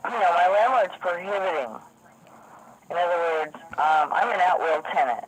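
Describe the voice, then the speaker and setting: A hoarse woman who has lost her voice, insistently explaining a complaint over the phone to the police. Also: fairly low pitch, lively intonation, normal speed. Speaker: caller to police. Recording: noisy phone line recording.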